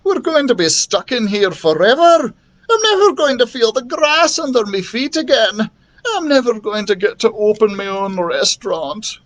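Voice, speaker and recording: A man's voice: extremely high pitch, animated, slow, hardly any pauses, bright, forceful tone, voice pushed up, heavily acted. A man voicing a tearful, fearful young boy in a storybook, whiny and sobbing, with a nervous stammer. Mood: despairing.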